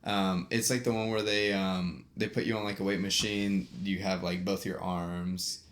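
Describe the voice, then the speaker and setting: Low-voiced man rambling on a podcast. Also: level tone, moderate pace. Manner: rambling, many fillers and restarts, laughs easily. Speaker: podcast host. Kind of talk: casual podcast chat. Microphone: USB desk microphone.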